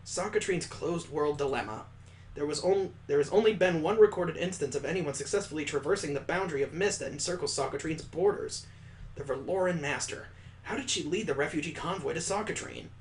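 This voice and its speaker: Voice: medium pitch, a little fast, a little hiss or hum, lightly acted. A let's player voicing a curious, polite student in a visual novel. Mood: pensive. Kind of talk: visual novel character reading.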